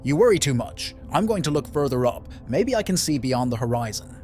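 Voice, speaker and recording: A man's voice: medium pitch, very animated, fairly quick, darkish tone, close to an everyday voice. A man playing a carefree, overconfident young scout in a motion comic, with a breezy, dismissive delivery. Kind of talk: motion comic dramatic acting. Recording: music under the voice.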